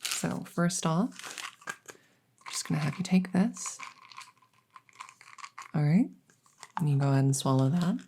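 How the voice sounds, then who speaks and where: A woman playing a kindly, rambling vet in an ASMR audio roleplay, explaining things softly and hesitantly with lots of reassurance. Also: low voice, lively intonation, takes it slowly, pauses often, a little hiss or hum. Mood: gentle, clinical. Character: kindly, rambling female vet for supernatural patients. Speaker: voice roleplay performer.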